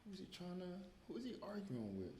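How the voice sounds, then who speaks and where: Easygoing boxer guest, joking manner. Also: male voice, high voice, huge pitch swings, fast talker, warm tone, a little hiss or hum. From Fort Myers, Florida, US. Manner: joking, easygoing, casual phrasing.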